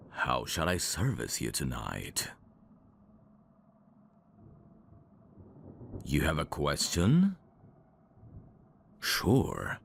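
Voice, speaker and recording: A man playing a suave, teasing demon in an intimate ASMR roleplay, courteous and flirtatious, with a gravelly voice. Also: extremely low pitch, a little hiss or hum, full character voice. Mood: seductive, courteous. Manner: smooth-talking, flirtatious, formal phrasing with hesitations. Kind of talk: intimate ASMR roleplay acting addressed to the listener.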